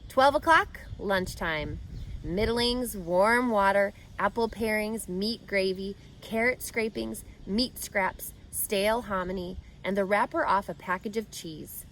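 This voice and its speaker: A woman's voice: medium pitch, measured pace, fairly bright tone, slight background noise, low-quality recording. A woman narrating a children's book expressively as a read-aloud host, speaking directly to young listeners.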